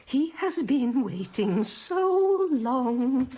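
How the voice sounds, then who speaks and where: An actress playing a frail old visionary nun in a radio drama, rapturous, repetitive and coaxingly devout. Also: female voice, high voice, big pitch swings, drawn-out words, warm tone, exaggerated voice.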